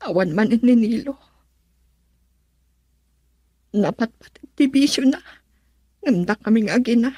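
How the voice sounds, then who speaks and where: Unhappy young ilocano mom in a radio drama, high slightly husky voice, dry studio sound. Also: female voice, expressive, moderate pace, dark tone, dry recording. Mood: bitter, weary. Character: unhappy young mother regretting her marriage.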